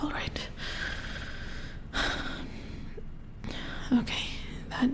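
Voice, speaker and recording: A woman playing an attentive, mildly worried robot repair engineer in a soft-spoken ASMR roleplay, murmuring hesitantly in a breathy whisper as she works. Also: high voice, low-quality recording. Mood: focused, concerned.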